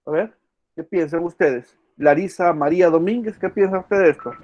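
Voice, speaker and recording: A man's voice: fairly high pitch, normal speed, darkish tone, muffled recording. A male law professor giving an online class, quizzing students by name and repeating key words. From El Salvador.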